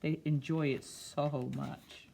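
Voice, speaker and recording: A woman's voice: sits low, fairly even intonation, slow pace, gravelly, warm tone. A woman reading a picture book aloud to children, drawing out phrases expressively and breaking off into simple, casual explanations. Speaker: children's storyteller.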